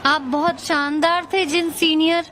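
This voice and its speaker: A woman's voice: very high-pitched, little pitch movement, measured pace, noisy background. A woman voicing a young female fighter in a dubbed game cutscene, bold and playful. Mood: admiring. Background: loud game music.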